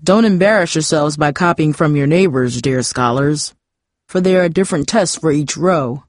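Casting: theatrical, severe male teacher played by a woman, audiobook, low pitch, pompous measured delivery, dry sound. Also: no room sound. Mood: stern.

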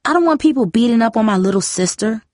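A woman's voice: fairly high pitch, animated, fast, a little mellow, no echo, studio quality, pitched above the performer's own voice, natural voice. A woman voicing a protective, persistent teenage older sister, earnest and pressing, in a story reading. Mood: alarmed, pressing.

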